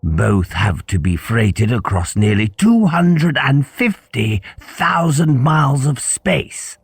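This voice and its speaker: An audiobook school principal, acted out by a man: older, stern and pompous. The voice is lifted well up from the narration and scolds in deliberate, formal phrases, in a dry close recording. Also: medium pitch, sing-song, gravelly, breathy edge, dry recording, pitched above the performer's own voice, heavily acted. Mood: stern, reproving.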